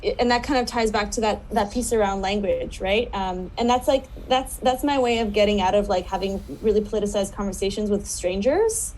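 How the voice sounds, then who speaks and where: A woman speaking on a panel over a video call, chatty and quick, with many likes and ums. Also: mid-range voice, a little mellow, a little hiss or hum, poor audio. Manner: quick, chatty, many fillers, laughing asides. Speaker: panelist writer. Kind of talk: panel discussion.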